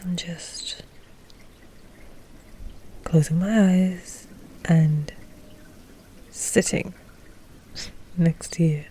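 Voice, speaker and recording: A woman playing an anxious, tender vampire noblewoman in an ASMR roleplay, speaking softly and hesitantly with sighs. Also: on the low side, steady tone, drawn-out words, pauses often, husky, heavily acted. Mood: cozy, affectionate. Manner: soft, hesitant, sighing.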